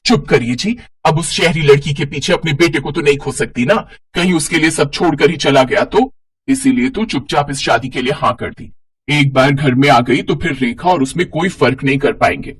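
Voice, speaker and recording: Man as a scolding mother-in-law, bossy, old-fashioned, husky voice, family cartoon story. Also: a little high, normal speed, distant mic, voice pushed down. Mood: grudging, scheming. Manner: sharp, nagging scolding.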